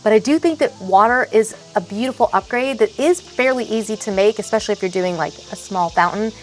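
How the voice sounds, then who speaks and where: A woman's voice: medium pitch, brisk, slight background noise. A woman in her thirties hosting a home makeover video outdoors, chatting enthusiastically and laughing often. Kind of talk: casual on-camera chat and tips. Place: outdoors in a backyard garden.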